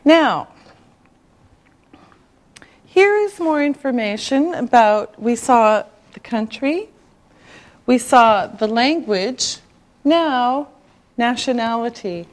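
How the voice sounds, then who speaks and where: A woman teaching an English class, speaking slowly and deliberately with clear enunciation. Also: on the high side, animated. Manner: slow and deliberate, drawing out words.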